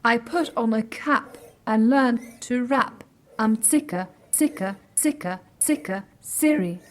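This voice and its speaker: A high, synthetic female artificial intelligence from an audio drama. Each syllable lands on a stepped, quantized tone with no breaths between words. The delivery is precise, emotionless and slightly glitchy. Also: slow pace. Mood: boastful rapping. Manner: clipped, even syllables, run together. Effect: pitch-quantized glitchy robot voice.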